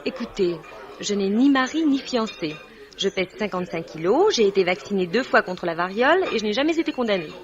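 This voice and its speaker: An actress playing a brisk, sassy, hot-tempered young waitress, deadpan and quick-witted, in the style of 1950s French radio. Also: female voice, mid-range voice, lightly acted. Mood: dry teasing. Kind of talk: 1950s French radio comedy-drama acting. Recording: muffled vintage radio, background restaurant bustle.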